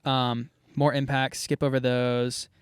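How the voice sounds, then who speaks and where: A man's voice: medium pitch, little pitch movement, clean tone, dry recording. A man, a music producer, talking loosely and profanely while walking through a project in the studio. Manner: loose, profane, quick asides. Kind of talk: casual studio chat.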